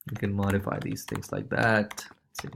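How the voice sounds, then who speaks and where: Casting: male tutorial presenter, lowish voice, informal and loose, walking viewers through on-screen steps. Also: little pitch movement, moderate pace, vocal fry, background noise. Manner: informal, loose, repeats check-in tags like okay and right. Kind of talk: casual screencast walkthrough.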